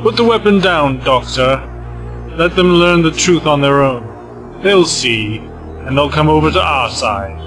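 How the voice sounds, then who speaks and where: A man's voice: a little high, lively intonation, unhurried, fairly bright tone. Man acting a suave gloating villain over dramatic music. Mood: cool, commanding. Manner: smooth, condescending, mock-courteous.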